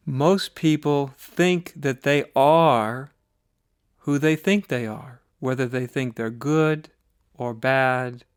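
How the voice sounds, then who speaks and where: An American man leading a guided meditation as a spiritual teacher, speaking in a measured, deliberate way with pauses between short phrases. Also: medium pitch, clear, resonant tone, dry recording, clean studio sound. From United States.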